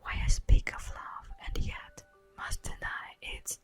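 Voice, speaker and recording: A woman playing a solemn, tender elf guardian of the forest in a breathy, whispered ASMR roleplay, speaking formally and poetically. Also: faint music behind, natural voice. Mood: sorrowful, tender. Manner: slow, formal, poetic, drawn-out delivery.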